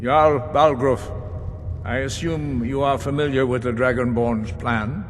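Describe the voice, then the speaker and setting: An actor plays a solemn elder who chairs a fantasy game council. His mid-pitched voice is formal and measured, echoing in a hall. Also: fairly even intonation, slight background noise, natural voice. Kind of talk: fantasy video game voice acting. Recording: long hall reverb, faint music.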